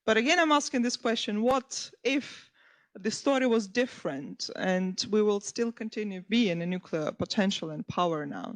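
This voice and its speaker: A woman historian speaking on a conference panel, earnest and often correcting herself mid-phrase. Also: mid-range voice. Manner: earnest, restarts and self-corrections.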